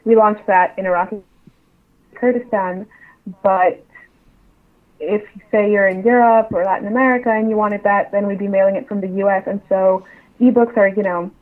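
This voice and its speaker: A woman's voice: medium pitch, fairly even intonation, moderate pace, narrow, tinny audio. A woman answering interview questions as a publisher, explaining things casually over a remote call.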